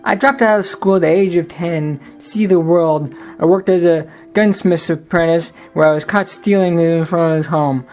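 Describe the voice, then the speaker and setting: A woman's voice: on the low side, normal speed, hardly any pauses, darkish tone, faint music behind, close to an everyday voice. A woman voicing a young Irish pirate seaman telling his story in the first person, earnest and plodding.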